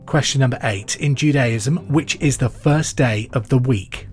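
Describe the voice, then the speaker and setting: A middle-aged man hosting a pub quiz podcast, reading out trivia questions and answers in a clear, deliberate way. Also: mid-range voice, a little mellow. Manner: clear deliberate delivery, repeats each question. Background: music bed.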